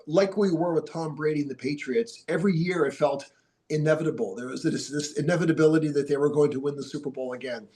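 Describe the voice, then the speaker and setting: A man's voice: on the high side, darkish tone. Stuffy-voiced male sports podcast host. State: stuffy from a cold. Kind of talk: casual sports podcast chat. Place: TV studio.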